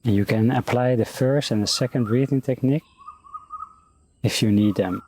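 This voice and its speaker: A man speaking as a meditation teacher, giving calm, unhurried, gentle guided meditation instructions. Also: mid-range voice.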